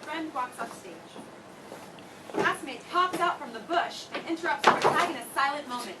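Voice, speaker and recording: A woman's voice: high-pitched, expressive, moderate pace. A woman reading out scripted stage directions at a live play reading. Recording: distant mic, very loud noise.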